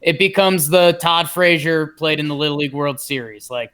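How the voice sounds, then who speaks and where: An American man chats about sports as a podcast host. His voice is fairly high for a man, with a clean, smooth sound and an informal delivery. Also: fairly even intonation, moderate pace, fairly bright tone. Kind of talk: casual sports talk.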